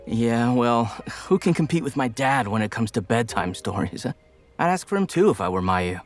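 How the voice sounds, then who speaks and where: An actor playing a devoted young man, a grown son who banters wryly, in an animated comic drama. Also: mid-range voice, moderate pace, soft background music, close to an everyday voice. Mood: warm, joking. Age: young adult. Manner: lively, bantering. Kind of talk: animated motion-comic voice acting.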